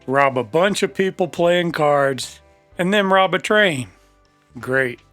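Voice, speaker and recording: Slow-talking, sardonic western outlaw partner. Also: male voice, on the high side, animated, fairly bright tone, no room sound, faint music behind. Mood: sarcastic. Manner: sardonic, grudging. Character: sarcastic, reluctant Old West outlaw partner, grown man. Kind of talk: old-time radio western acting.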